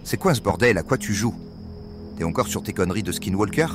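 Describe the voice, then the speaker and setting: A man's voice: lowish voice, animated, brisk, close to an everyday voice. A man playing an angry, scornful brother in an audio story, speaking in a coarse, confrontational way. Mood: irritated, scornful. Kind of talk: dramatised audio fiction. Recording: loud music bed under voice. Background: loud music.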